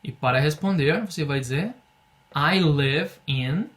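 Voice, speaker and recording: A man's voice: fairly high pitch, a bit bright. Patient Brazilian man teaching English. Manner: patient, explains slowly, drills phrases for repetition. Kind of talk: online language lesson.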